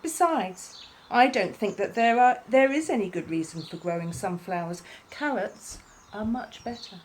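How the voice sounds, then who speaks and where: A woman's voice: mid-range voice, lively intonation, measured pace, a little hiss or hum, close to an everyday voice. A woman voicing an impatient, petulant young male bunny in a children's storybook. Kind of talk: children's storybook character reading.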